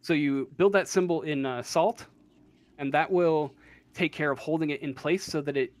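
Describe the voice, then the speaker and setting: A man working as game master, improvising narration for a tabletop role-playing game in a halting way, searching for words. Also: on the high side.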